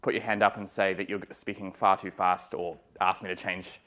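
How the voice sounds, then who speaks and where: A man with a New Zealand accent giving an academic law lecture in a relaxed way, with many ums and uhs and some dry humor. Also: sits low, moderate pace. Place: lecture room.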